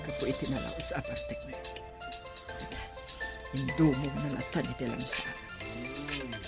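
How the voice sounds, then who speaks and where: A woman's voice: very deep voice, measured pace, gravelly, loud music behind the voice, heavily acted. A Filipino woman playing an anxious, coaxing mother of a grown son in a radio drama. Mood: weary, muttering.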